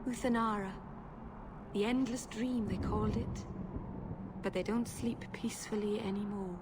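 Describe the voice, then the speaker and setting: An actress playing an earnest, naive young elven mage in a video game, speaking gently. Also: female voice, mid-range voice, steady tone, normal speed, heavy background noise, natural voice. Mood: solemn, gentle. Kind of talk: video game cutscene acting.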